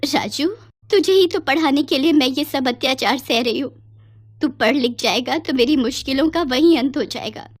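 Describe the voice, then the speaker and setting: A woman playing a long-suffering, devoted poor mother in a melodramatic animated story. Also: high-pitched, normal speed, natural voice. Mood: weary.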